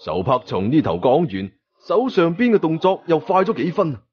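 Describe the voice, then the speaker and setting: A man's voice: on the high side, moderate pace, lo-fi sound. A man with a Hong Kong Cantonese accent narrating a story dramatically, acting out the dialogue as he goes. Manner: animated, acts out dialogue.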